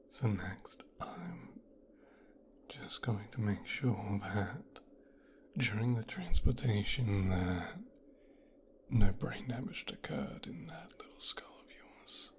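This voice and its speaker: A man playing a mafia boss's son in an ASMR roleplay, softly and slowly examining his captive with gentle, clinical condescension in a breathy, whispery voice. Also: fairly low pitch. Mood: condescending, soothing.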